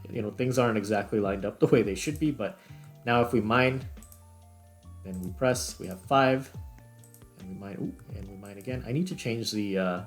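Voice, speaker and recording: A man with a somewhat low voice talks over faint music. He hosts in an informal way, thinking out loud as he comments on what is on his screen. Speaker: video host, game developer. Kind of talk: casual screen-recorded commentary.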